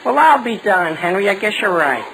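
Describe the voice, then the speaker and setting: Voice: mid-range voice, very animated, normal speed. An actor playing an eager, muddled teenage boy who blurts things out in a 1940s radio sitcom, on a noisy vintage broadcast. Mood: baffled. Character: excitable, muddled teenage boy, the son's pal.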